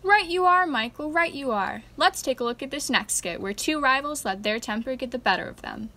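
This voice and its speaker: A woman's voice: sits high, lively intonation, brisk, pushed and bright, exaggerated voice. A woman playing a chipper radio host with scripted banter in a radio play.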